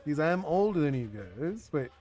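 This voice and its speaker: A man's voice: on the high side, very animated, brisk, darkish tone. A young man whose first language is Spanish, chatting casually on a live stream while he designs a card game, rambling with lots of "like" and restarts. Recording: faint music behind the voice.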